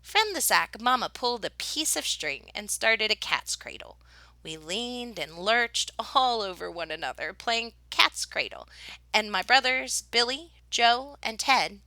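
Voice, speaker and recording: A woman reads a picture book aloud like a teacher to young listeners. Her voice is bright and very animated, with deliberate, expressive phrasing. The sound is dry and close. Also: a little high, no echo. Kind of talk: expressive children's storybook narration.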